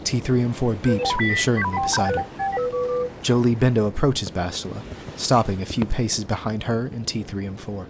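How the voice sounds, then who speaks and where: Low-voiced man narrating scene directions. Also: steady tone, normal speed. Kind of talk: scripted narration of screenplay directions. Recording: faint background noise and ambience.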